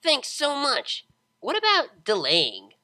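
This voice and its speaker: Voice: high-pitched, huge pitch swings, takes it slowly, pushed and bright, dry recording, pitched above the performer's own voice, full character voice. A performer voicing a cheerful, relentlessly pestering young female pegasus pony in an audiobook. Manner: chirpy, innocently stalling questioner. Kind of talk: audiobook character voice.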